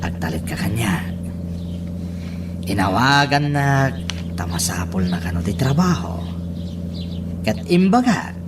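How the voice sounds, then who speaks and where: Low-voiced scheming henchman. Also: very animated, a little slow, long pauses, heavy background noise. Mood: sly, eager. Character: scheming male henchman reporting slyly to his crime boss. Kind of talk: Ilocano radio drama acting.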